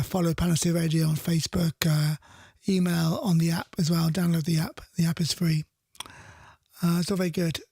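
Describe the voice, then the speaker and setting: A man's voice: sits high, gravelly, darkish tone, breathy edge, no room sound, studio quality. A middle-aged man presenting a radio show in casual, rambling live chat, often repeating his phrases.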